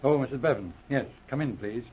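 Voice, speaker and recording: An actor playing a calm, shrewd Mounted Police inspector, methodical, assured and probing, in 1940s radio drama style. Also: male voice, medium pitch, brisk, noisy background, close to an everyday voice. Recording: muffled old radio recording.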